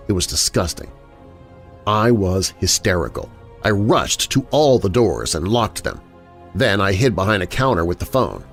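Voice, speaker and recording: Deep-voiced man narrating horror stories. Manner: dramatic, expressive storytelling. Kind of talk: scripted narration of first-person scary stories. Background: background music.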